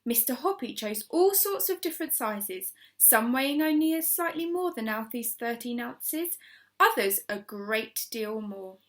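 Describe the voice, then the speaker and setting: Animated woman reading a children's story. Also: a little high, a little slow. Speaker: primary school story reader. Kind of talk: expressive children's storybook narration.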